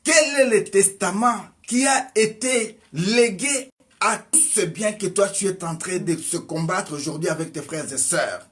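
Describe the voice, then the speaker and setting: A man preaching a sermon, asking his listeners question after question, his voice swinging between loud and soft. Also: high voice, a little slow. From Congo. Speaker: evangelist preacher.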